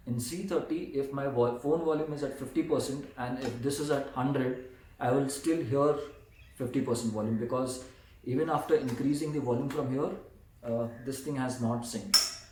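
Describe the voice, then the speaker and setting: A man's voice: medium pitch, normal speed, a little mellow. A man with an Indian accent talking casually through a product comparison, explaining things in a hesitant way. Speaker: vlogger reviewing motorcycle gear. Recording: echoey room with some background noise.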